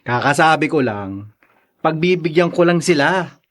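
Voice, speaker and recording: A man's voice: a little high, expressive, unhurried, fairly bright tone. A man playing a lazy, whining young husband and father in a Tagalog radio drama. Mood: irritated, dismissive. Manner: whining, nagging.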